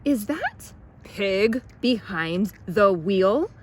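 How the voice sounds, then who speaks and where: A woman plays a stern male police officer from a children's storybook. He speaks in an official, deliberate way with big emphatic swings in pitch. There is faint noise in the recording. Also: sing-song. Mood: incredulous. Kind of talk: children's storybook read aloud.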